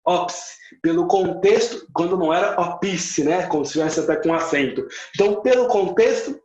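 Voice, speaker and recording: A man's voice: high-pitched, normal speed, a little mellow. A Brazilian man with a Brazilian Portuguese accent, an English teacher giving an emphatic motivational lesson, repeating points for stress and dropping in English words. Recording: echoey room, slightly muffled sound.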